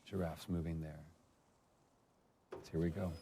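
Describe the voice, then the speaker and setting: Male commentator, rock-bottom pitch with gravelly fry, emphatic and admiring, faint noise behind. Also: little pitch movement, a little slow. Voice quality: gravelly, very deep. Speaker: director's commentary participant. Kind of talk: casual film-style commentary chat.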